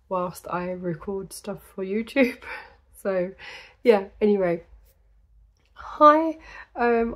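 A woman in her thirties from the UK, hosting a crafting podcast in a small indoor room. She chats to the camera in a rambling way, full of ums and little laughs, and her voice is going, slightly gravelly. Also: mid-range voice. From United Kingdom. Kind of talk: casual chat to camera.

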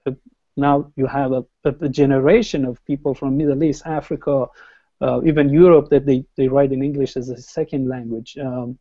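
A man in his forties from Iran, talking in a halting way with many pauses and fillers on a video call, using an earphone headset at home. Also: mid-range voice, steady tone, dark tone, no echo. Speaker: panel guest writer. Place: home room by brick wall, indoors. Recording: dull muffled video call audio.

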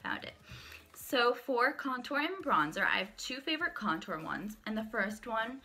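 A woman's voice: a little high, big pitch swings, normal speed, sharp tone, soft background music. A young woman in her twenties, a beauty vlogger chatting enthusiastically through her favorite makeup brushes while sick, her voice cracking at times. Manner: chatty, enthusiastic, shows each brush to camera. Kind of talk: casual product walkthrough. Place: small room at home, indoors.

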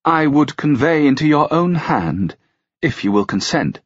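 A man voicing a flattering young noblewoman at court, with courtly, sweetly persuasive speech. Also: on the high side, a little slow, breathy edge, no room sound, pitched above the performer's own voice, full character voice. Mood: coaxing. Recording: dull, dry, lo-fi sound.

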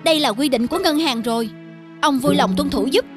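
A woman's voice: high voice, brisk, natural voice. A woman voicing an officious young bank teller in a cartoon, speaking with formal customer-service politeness. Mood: curt, dismissive. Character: officious young female bank teller, dismissive then deferential. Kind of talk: cartoon dubbing. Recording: music under the voice.

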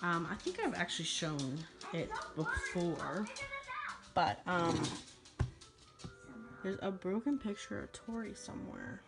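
A woman's voice: on the low side, sing-song, takes it slowly, vocal fry, long pauses, over loud music. A young woman chatting casually and rambling in an informal vlog, recorded on a handheld phone. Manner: informal, rambling, talks to a pet. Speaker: vlogger.

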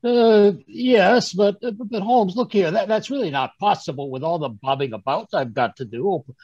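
A man playing a fussy, flustered gentleman sidekick in old-time radio style, husky and stammering over a video call. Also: high voice, moderate pace, no room sound. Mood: grumbling. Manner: stammering, blustering, muttering. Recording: muffled video-call audio.

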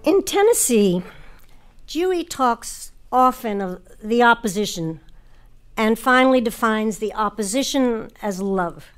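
A woman's voice: on the high side, animated, measured pace, faint music behind. A woman host presenting in a scripted style, introducing and questioning guests.

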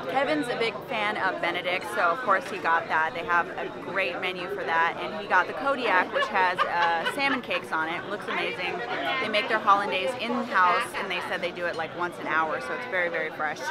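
Woman vlogging over loud restaurant chatter. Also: medium pitch. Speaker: travel vlogger. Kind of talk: casual food vlog chat to camera. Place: busy indoor cafes and restaurants.

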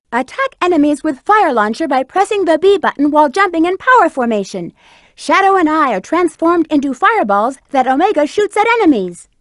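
A woman's voice: high voice, fairly bright tone, dry recording, studio quality. A woman voicing a sassy, flirty female bat treasure hunter in a video game, teasing and self-assured. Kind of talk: video game character voice acting.

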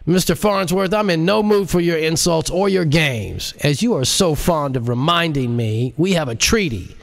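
A man's voice: fairly high pitch, lively intonation, moderate pace, creaky, voice pushed up. A man voicing a stubborn, indignant US president with a blustering, defensive manner in an audiobook. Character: indignant, stubborn male US president under pressure.

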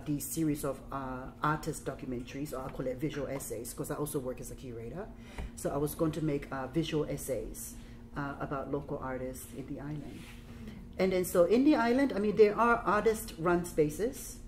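A woman with a Samoan accent and a low voice speaks as a guest artist at a gallery talk. She talks loosely and chattily, with many fillers. A light hiss sits under her voice. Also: darkish tone. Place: gallery room, indoors.

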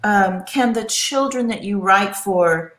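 Woman, panel moderator, playful, slow talker, echoey room, video call. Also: medium pitch, a bit bright. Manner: playful, guides speakers with lettered cards.

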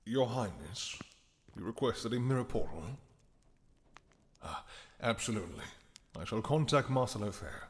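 A man's voice: medium pitch, lively intonation, normal speed, pauses often, close to an everyday voice. A man voicing a deferential male royal servant who speaks politely and formally in an audio roleplay. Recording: long reverb, faint hiss.

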